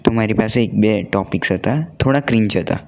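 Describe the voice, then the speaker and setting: A man's voice: on the low side, brisk, darkish tone. A young adult man chats casually and earnestly, telling stories in a conversational way and mixing in English words. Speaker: vlogger.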